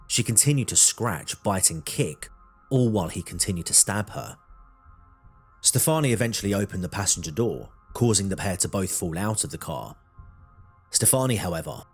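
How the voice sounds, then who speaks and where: Deep-voiced man narrating true crime, slightly husky. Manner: measured, dramatic storytelling. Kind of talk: scripted documentary narration.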